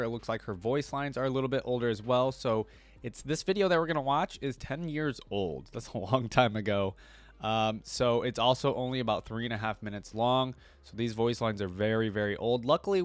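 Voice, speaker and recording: Guy doing casual reaction commentary, middle pitch, chatty, thinks out loud, very dry close sound. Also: no echo. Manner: chatty, musing, thinks aloud. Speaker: reaction video host.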